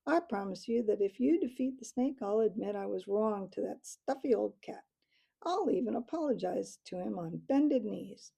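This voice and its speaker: Lady doing a big gloaty owl captain, cackly, dark husky voice, slow. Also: mid-range voice, expressive. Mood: gloating. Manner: mocking, laughs raucously.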